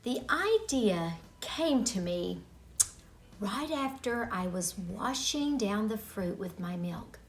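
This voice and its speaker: A middle-aged woman telling a childhood story to children in a deliberate, expressive manner with dramatic pauses. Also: medium pitch, a little hiss or hum.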